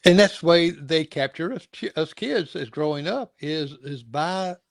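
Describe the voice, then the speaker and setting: A man's voice: fairly high pitch, animated, measured pace, gravelly, dry recording, clean studio sound. A middle-aged or older man hosting a casual interview, talking in a rambling, folksy way and stammering over repeated words. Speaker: show host interviewing a guest.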